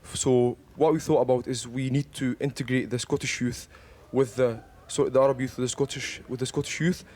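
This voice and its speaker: A man's voice: medium pitch, steady tone, fairly quick, a little mellow. A young man earnestly explaining his view in an audience discussion, repeating his phrases. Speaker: audience member.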